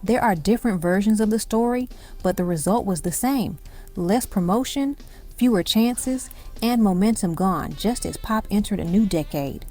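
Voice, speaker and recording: A woman's voice: mid-range voice, moderate pace, dark tone. A woman reading scripted documentary-style narration for a music countdown, in dramatic, punchy short phrases. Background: music behind the voice.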